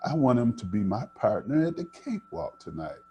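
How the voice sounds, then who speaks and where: A man voicing an eager, determined young country girl from a storybook, with excited, folksy phrasing. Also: mid-range voice, fairly quick, slightly rough, full character voice.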